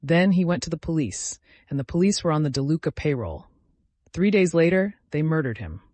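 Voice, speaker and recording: A man's voice: fairly high pitch, expressive, brisk, darkish tone, dry recording, close to an everyday voice. A man voicing a determined, haunted young man in his twenties, speaking earnestly in a dramatic audio story. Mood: firm, intense. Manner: earnest, resolute.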